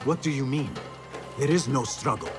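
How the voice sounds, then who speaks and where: A man acting as an earnest, guarded young assassin in a video game, with a measured, resolute delivery. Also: medium pitch, loud music behind the voice, natural voice.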